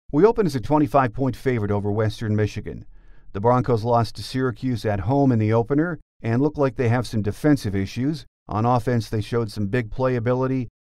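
A man's voice: medium pitch, darkish tone, no echo, clean studio sound. A man hosting a sports podcast, presenting in a scripted radio-show style.

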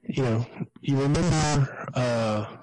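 A man's voice: medium pitch, slow pace, a little mellow, dry recording, poor audio. A man co-hosting a podcast, chatting casually in a colloquial, sardonic way. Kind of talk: casual chat.

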